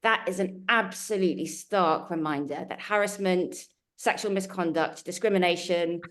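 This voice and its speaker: A woman's voice: on the low side, normal speed. A woman speaking as a panelist on a webinar over a video call, earnest and emphatic, building her points in lists. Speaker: panelist from a culture change consultancy.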